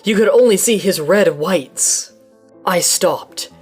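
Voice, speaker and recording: A woman giving a comedic dramatic reading of a fanfic in first person, deadpan and mock-dramatic, with deliberate mispronunciations. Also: medium pitch, slightly rough, soft background music. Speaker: dramatic reader.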